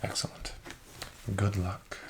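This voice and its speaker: A soft-spoken man doing an ASMR roleplay as a friendly, courteous casino dealer, speaking in a formal, measured and encouraging way. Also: very noisy. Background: card shuffling and clicks.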